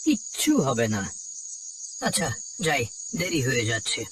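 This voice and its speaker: A man's voice: mid-range voice, very animated, measured pace, recorded from a distance, slight background noise, low-quality recording. A man playing an easygoing village watchman and husband in a cartoon folk tale, speaking in a casual, reassuring way.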